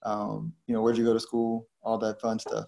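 A man's voice: lowish voice, monotone, normal speed, vocal fry, very noisy. A man hosting a casual interview podcast, laid-back and slangy, with frequent fillers as he introduces and questions his guest. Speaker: podcast host.

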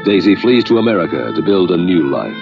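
A man with a very low, gravelly voice narrates a TV promo with portentous weight. The sound is dull and lo-fi, with loud dramatic music swelling underneath. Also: very animated, fairly quick. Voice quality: deep, gravelly announcer voice. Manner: portentous, building suspense. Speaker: trailer announcer. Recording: dull lo-fi TV audio.

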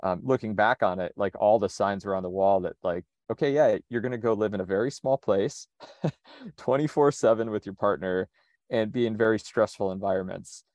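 A man from the United States, speaking in a relaxed conversational interview, thinking aloud with frequent restarts. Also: on the low side, moderate pace, no room sound. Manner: reflective, methodical, frequent restarts. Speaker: sailor and interview guest.

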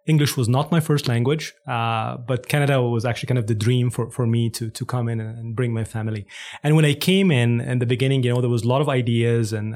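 A man's voice: mid-range voice, darkish tone. A man from Egypt, talking enthusiastically as an interview guest with frequent fillers. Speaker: interview guest, business founder. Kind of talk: conversational interview.

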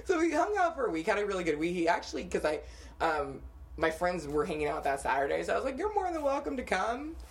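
A young woman co-hosting a podcast, telling dating stories in a casual, animated way and laughing often. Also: medium pitch, fast. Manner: animated storytelling with frequent laughter. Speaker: podcast co-host. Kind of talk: casual chat.

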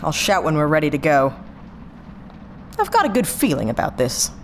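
Low-pitched, brisk woman spaceship pilot. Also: very animated, a little hiss or hum. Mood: friendly, upbeat. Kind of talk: sci-fi audio drama acting.

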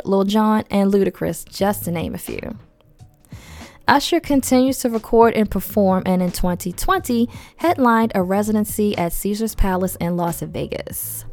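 A woman's voice: mid-range voice, darkish tone, dry recording. Congested woman hosting a chatty podcast. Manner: chatty, slangy asides. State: head cold, losing voice. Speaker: podcast host. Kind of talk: casual podcast narration.

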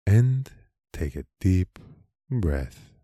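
A man leading a guided meditation in a calm, measured manner, leaving long pauses between short phrases. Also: deep voice, noisy background. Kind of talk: slow guided meditation narration.

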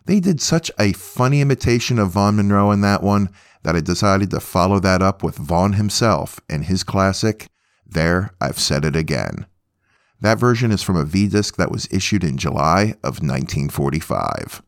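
Creaky low-voiced man hosting music. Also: expressive, moderate pace, no echo, clean studio sound. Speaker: music podcast host. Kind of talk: informal music show presenting between songs.

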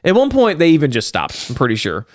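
A man co-hosting a casual podcast, recapping a movie with lively, laughing delivery. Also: on the high side, quick pace, no room sound, clean studio sound. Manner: animated, laughing, talks through the plot. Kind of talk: casual chat.

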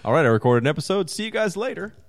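A man in his thirties, from Maryland, co-hosts a loose podcast chat. His pitch swings up and down as he talks, and he often pokes fun at himself. The sound is dry and close, with no room echo. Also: big pitch swings, rapid-fire. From Maryland, USA. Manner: laughs easily, self-deprecating. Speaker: podcast co-host. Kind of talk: casual chat.

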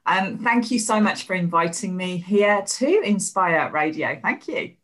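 A woman in her fifties from England, speaking with an English accent softened by years in Australia, chatting animatedly as an interview guest. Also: fairly low pitch, lively intonation, measured pace. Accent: English with some Australian. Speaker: guest, healing coach. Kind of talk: casual interview chat.

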